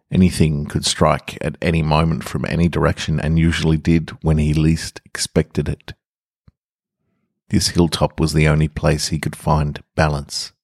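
A man's voice: a bass voice, no echo, studio quality. A man hosting a podcast and reading short stories, with casual introductions and scripted narration. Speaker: podcast host and story reader.

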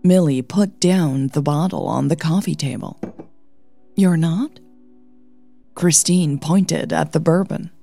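A woman's voice: low-pitched, measured pace, gravelly, hardly any pauses, warm tone, husky, soft background music. A woman narrating scripted audio fiction as the storyteller.

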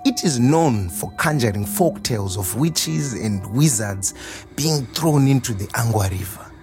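An actor playing a proud, warm restaurant owner reminiscing in a theatrical storytelling monologue. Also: male voice, medium pitch, natural voice. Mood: wry storytelling. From Zimbabwe. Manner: animated, chuckling, theatrical storyteller. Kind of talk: dramatised monologue, storytelling to a customer.

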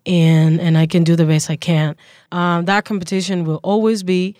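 Woman, from Cuba, musician, casual interview, quick, dry room. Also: lowish voice, level tone, darkish tone, dry recording. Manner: quick, with frequent little laughs. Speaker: musician and drummer.

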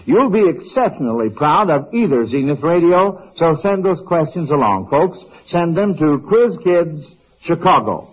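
A man's voice: a little high, very animated, unhurried, slightly rough. A man hosting an old-time radio quiz show in a jovial, folksy, chuckling manner. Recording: dull vintage radio broadcast.

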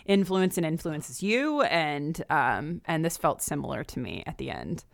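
A woman's voice: sits low, sing-song, unhurried, gravelly, dry recording. A woman guesting on a podcast, chatting casually and with enthusiasm about books. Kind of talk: casual book discussion chat. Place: remote call.

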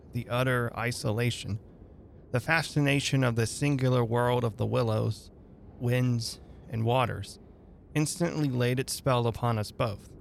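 Male narrator for classic weird fiction: mid-pitched, gravelly, deliberate and dramatic, with audible background noise. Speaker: reader and host of a dramatic reading radio show. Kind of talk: dramatic audiobook narration of a literary story.

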